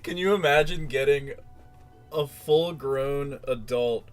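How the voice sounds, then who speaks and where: A man's voice: mid-range voice, slow pace, faint music behind. A man giving casual, mocking commentary in a sarcastic and profane manner. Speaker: commentator reacting to a played recording.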